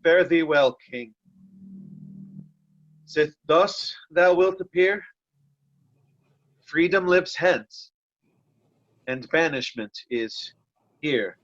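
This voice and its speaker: Woman acting defiant loyal lord, declamatory, measured pace, Shakespeare reading, lo-fi sound. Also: deep voice, full character voice. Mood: resigned farewell. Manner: earnest, declamatory. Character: Kent, loyal, blunt, defiant nobleman. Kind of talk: Shakespeare reader's theatre acting.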